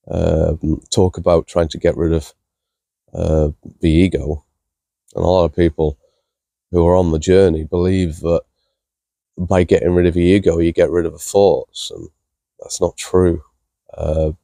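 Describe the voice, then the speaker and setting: A man with a croaky voice from being ill, explaining spiritual ideas in a hesitant, casual way with many fillers. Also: low-pitched, dark tone, no room sound, studio quality. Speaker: spiritual vlogger.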